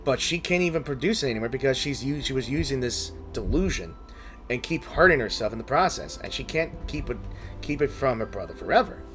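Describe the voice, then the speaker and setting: A man's voice: medium pitch, expressive, fairly quick, a bit bright. Casual male gamer commenting over music. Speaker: gaming video host.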